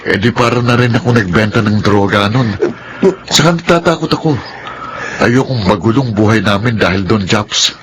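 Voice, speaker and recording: A man acting as a timid, honest, poor young Filipino man in a radio drama. He speaks earnestly in a lowish voice, with clear background noise around him. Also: moderate pace, lightly acted. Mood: worried, protesting. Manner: earnest, self-effacing.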